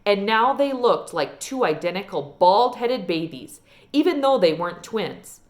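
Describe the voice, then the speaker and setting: Animated woman reading a storybook. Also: medium pitch, unhurried. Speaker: read-aloud reader. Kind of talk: expressive storybook narration.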